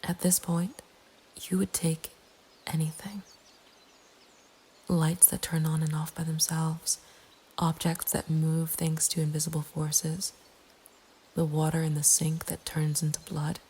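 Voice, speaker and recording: Woman whispering an asmr horror story, breathy n flat, some ambient noise behind her. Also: low-pitched, a little slow, pauses often. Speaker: narrator. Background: nature ambience.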